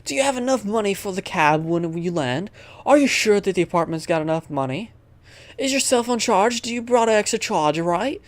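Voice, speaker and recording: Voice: mid-range voice, lively intonation, fairly quick, dry recording, close to an everyday voice. Gamer putting on a fretful fussing mother, close-miked. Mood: worried. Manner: fretful, questioning. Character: anxious, fussing mother of a grown child.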